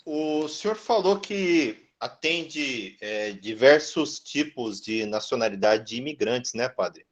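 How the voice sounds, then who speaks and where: Slow, halting male co-interviewer. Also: mid-range voice, lively intonation, dull audio. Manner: halting, many "é" fillers. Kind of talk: interview questions.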